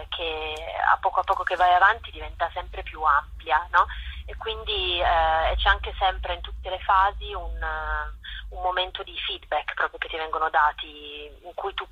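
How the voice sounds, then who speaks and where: A woman's voice: on the low side, pushed and bright, slightly rough, no room sound, highs cut off. A woman theatre director answering interview questions thoughtfully, often restarting her sentences.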